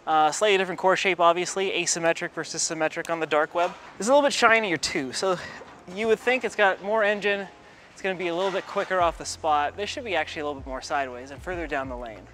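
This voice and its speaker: A man's voice: high voice, quick pace, a bit bright, a little hiss or hum. Chatty man reviewing bowling balls. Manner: chatty, muttering play-by-play between throws. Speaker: pro shop ball reviewer. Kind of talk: casual review while bowling. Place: large bowling alley, indoors.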